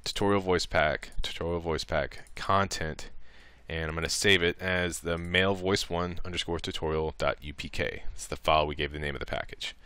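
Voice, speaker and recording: A man giving a casual, improvised software tutorial, talking through each click as he goes. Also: sits low, fairly even intonation, normal speed, few pauses, clean tone, no room sound. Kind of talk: casual step-by-step screen tutorial.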